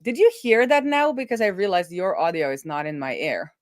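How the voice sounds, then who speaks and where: A woman hosting a live coding stream, chatting informally and telling things in an animated, conversational way. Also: fairly low pitch, brisk, dry recording, clean studio sound. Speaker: livestream host and web developer.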